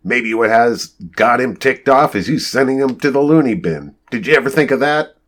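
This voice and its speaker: A man's voice: mid-range voice, lively intonation, moderate pace, a bit bright. A man voicing an indignant, hot-tempered male company boss in a story, confrontational and prone to swearing. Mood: angry, accusing.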